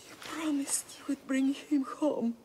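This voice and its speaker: A woman's voice: high-pitched, fairly even intonation, unhurried, background noise. An actress playing an anguished, grieving mother in a video game, speaking with intense, imploring emotion. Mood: cold grief. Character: anguished, grieving mother of estranged warrior children. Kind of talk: dramatic video game acting.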